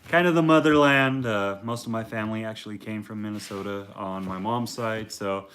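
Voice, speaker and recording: A man with a deep voice chatting casually to his viewers in a relaxed, rambling way with plenty of fillers. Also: moderate pace. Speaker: reptile vlogger. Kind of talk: casual talk to camera.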